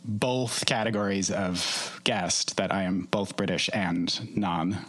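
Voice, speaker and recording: Chatty low-voiced American man. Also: steady tone, slow pace, a bit bright. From Minnesota, US. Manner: friendly, chatty, laughs easily. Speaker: podcast guest. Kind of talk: casual chat.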